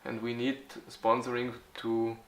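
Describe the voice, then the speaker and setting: A man in his forties from Austria, answering interview questions haltingly, with many fillers and restarts. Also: mid-range voice, level tone, echoey room, a little hiss or hum. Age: 40s. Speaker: youth football club organizer.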